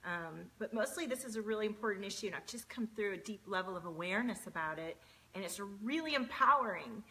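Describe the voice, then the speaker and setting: A woman in her forties, chatting casually on a home live stream from a desk mic. Her voice is bright and forward, sitting at a middle pitch, and she greets viewers by name and fills her pauses with plenty of ums. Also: expressive, poor audio. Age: 40s.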